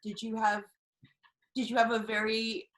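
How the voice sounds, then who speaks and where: A woman's voice: a little high, little pitch movement, measured pace, a bit bright. A woman interviewing an author, asking eager, conversational questions. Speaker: interviewer, editor. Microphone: video call. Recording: echoey room on a video call.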